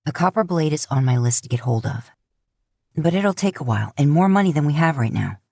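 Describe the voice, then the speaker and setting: A woman voicing a stubborn young male hunter in a lowered, gruff voice. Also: animated, fairly quick, mellow, dry recording, studio quality, full character voice. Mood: weary.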